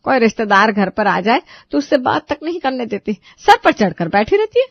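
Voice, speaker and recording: A woman's voice: fairly high pitch, very animated, brisk, no room sound, muffled recording. A woman voicing a gossipy, dramatic older mother-in-law type in an acted audio story. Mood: exasperated. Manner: complaining, dramatic. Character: exasperated, gossipy older Indian mother-in-law and her aunty friend.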